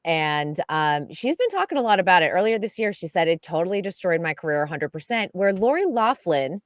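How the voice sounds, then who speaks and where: A woman giving a chatty, lively entertainment news segment on a radio show, laughing often. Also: on the low side, a little fast, no room sound, clean studio sound. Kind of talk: casual radio chat.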